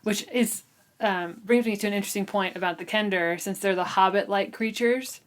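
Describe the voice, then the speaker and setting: A woman's voice: medium pitch, moderate pace. Casual woman podcaster chatting about books. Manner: conversational, uses "like" often. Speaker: book club podcast host. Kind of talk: casual book discussion.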